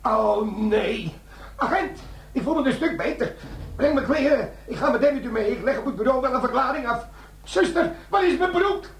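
An actor playing a bumbling, blustering army sergeant turned crook in a comic crime radio play. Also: male voice, extremely high pitch, expressive, moderate pace, gravelly, roomy sound, distant mic, full character voice. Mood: panicked. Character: bumbling army bomb-disposal sergeant turned gold thief, excitable.

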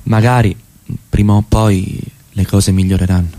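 A man's voice: fairly low pitch, fairly even intonation, moderate pace, warm tone, natural voice. A man acting in radio theatre as a blunt, teasing middle-class husband, with an emphatic, insistent delivery. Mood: polite, probing.

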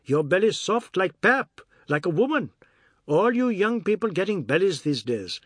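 A man's voice: high-pitched, very animated, moderate pace, fairly bright tone, husky, no room sound, clean studio sound, voice pushed up, exaggerated voice. A man voicing a boastful, mischievous older male relative in an audiobook, needling and lecturing emphatically. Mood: mocking, gleeful.

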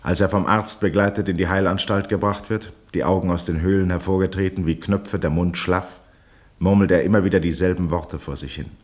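An actor as the grave, measured narrator of a 1960s German radio drama, reading literary prose. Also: male voice, low voice, steady tone, natural voice.

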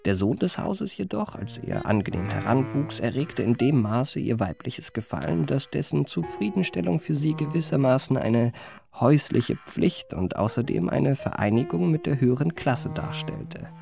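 A man's voice: mid-range voice, expressive, normal speed, gravelly, over music. An actor playing an eloquent, well-bred young confidence man in a radio play, speaking in ornate, elaborately polite phrases. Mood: smug, confiding. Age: young adult. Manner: ornate, elaborately polite, self-regarding diction. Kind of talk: literary radio play narration and dialogue.

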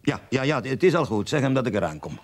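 An actor playing a guarded bank director in a radio play, formal, hesitant and curt, with a husky voice. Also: male voice, mid-range voice, very animated, fast, noisy background, natural voice. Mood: irritated. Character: stiff, guarded male bank director, officious.

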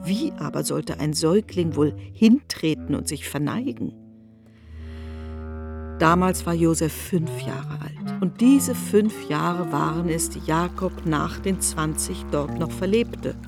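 Langsamer, knarrender Erzähler. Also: male voice, a little high, slow pace, gravelly, music in the background. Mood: measured. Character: measured storyteller of a biblical tale. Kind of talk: literary scripted narration.

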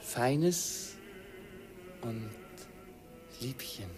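An earnest young student. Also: male voice, medium pitch, expressive, over music. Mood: explaining. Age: young adult. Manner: slow, earnest, schoolmasterly explaining. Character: nineteen-year-old German student, earnest and pedantic. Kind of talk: radio play acting.

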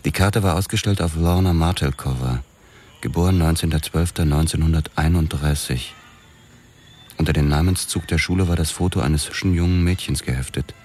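An actor narrating as a weary, sardonic police detective in a radio drama, with dry and clipped delivery. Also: male voice, a bass voice, a little mellow.

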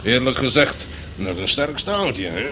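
A man's voice: mid-range voice, big pitch swings, normal speed, sharp tone. An actor playing a pompous, blustering old retired general in a radio play, repeating himself as he reminisces. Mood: hearty, admiring. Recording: creaky radio drama recording with background hiss.

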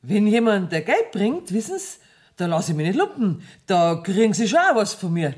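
A woman's voice: mid-range voice, very animated, normal speed, darkish tone, lightly acted. An actress playing a shrewd female moneylender who feigns innocence in a radio play, speaking offhand, coy Bavarian dialect. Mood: gracious, persuasive.

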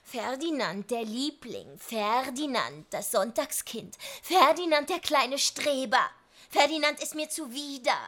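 An actress playing an angry, resentful grown daughter, biting and accusatory, in a German radio drama. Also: female voice, sits high, expressive, unhurried, a bit bright, exaggerated voice. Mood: scornful.

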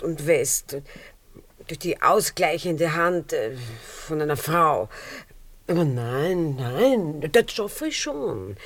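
Flighty Viennese baroness, swooping melodic voice. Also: female voice, fairly low pitch, no echo. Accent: Viennese Austrian. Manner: affected, theatrical, sprinkles in French phrases. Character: worldly, flighty, self-dramatising baroness in post-war Vienna. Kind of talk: radio play acting.

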